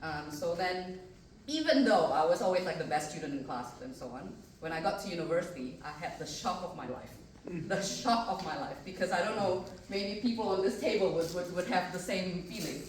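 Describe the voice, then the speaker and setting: An Indonesian-accented woman in middle age talks through her story on a panel, earnest and chatty, with plenty of ums and uhs. Her voice sits a little low. She sounds far from the mic, in a strongly reverberant room with faint noise behind. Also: animated, brisk. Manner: earnest and chatty, with many fillers. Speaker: mathematics professor on a panel. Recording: echoey room, distant mic.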